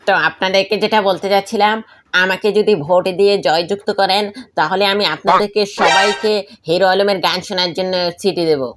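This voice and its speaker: A woman's voice: medium pitch, steady tone, a little fast, heavy background noise, close to an everyday voice. A woman voicing an eager, boastful, ingratiating male election candidate in a comedy cartoon. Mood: campaigning.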